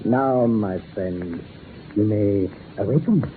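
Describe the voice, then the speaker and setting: Solemn radio-drama mystic magician. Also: male voice, on the low side, slow pace. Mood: grave. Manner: grave, formal, incantatory. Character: solemn mystic magician who summons the dead. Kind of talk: 1940s radio horror acting. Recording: tinny, noisy 1940s radio recording.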